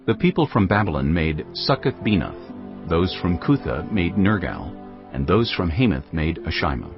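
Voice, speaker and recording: Very deep male scripture narrator. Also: big pitch swings, normal speed. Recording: dull audio, music underneath.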